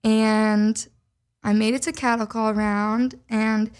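A woman's voice: fairly high pitch, level tone, slow, a little mellow, clean tone. Chatty young woman from Minnesota. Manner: chatty, full of "like" fillers, laughs easily. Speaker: podcast guest, singer. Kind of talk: casual storytelling chat.

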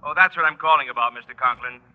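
A man's voice: mid-range voice, fast, close to an everyday voice. Unhelpful tailor played by a man, vintage radio sitcom acting, dull lo-fi sound. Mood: matter-of-fact. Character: unhelpful male clothes shop tailor. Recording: muffled old radio broadcast.

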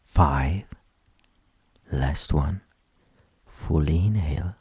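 Very deep, breathy male meditation guide. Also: dry recording. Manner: soft, soothing, breathy delivery trailing into whispers; slow, gentle instructions with long pauses.

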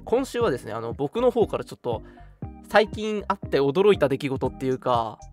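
A man hosting a casual live radio talk show, chatting in an animated, joking way. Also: mid-range voice, normal speed, faint music behind.

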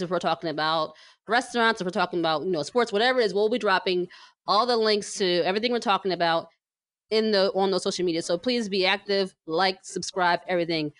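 American woman podcast host, chatty and emphatic, mid pitched voice, pretty dry recording. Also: fairly bright tone, dry recording. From New Jersey, United States. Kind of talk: casual chatty podcast introduction.

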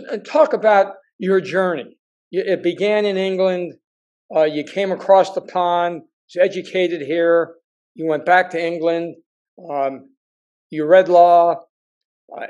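A man's voice: a little high, darkish tone. A man hosting an interview, stumbling over and repeating words as he sets up his questions. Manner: halting, repeats words while framing questions. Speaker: interview host.

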